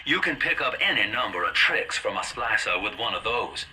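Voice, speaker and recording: An actor playing a folksy, wisecracking hustler in a retro game, with a chummy drawl. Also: male voice, lowish voice, very animated, normal speed, pushed and bright, exaggerated voice. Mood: jovial, instructive. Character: folksy, slick fast-talking male hustler guiding the player. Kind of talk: stylized retro game voice acting, colorful period slang. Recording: noisy radio-style transmission.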